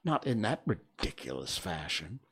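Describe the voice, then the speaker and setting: A man voicing a sleepy, sulky storybook dormouse who complains in a slow, drawn-out way. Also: low voice, sing-song, husky, heavily acted. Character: sleepy, sulky talking dormouse, grumbling and squeezed.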